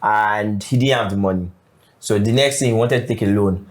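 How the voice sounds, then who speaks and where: A man's voice: on the low side, fairly quick. Nigerian male guest, twenties, casual storyteller, easygoing. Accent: Nigerian English. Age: 20s. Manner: easygoing, chatty, laughs as he tells stories. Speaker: guest, property developer.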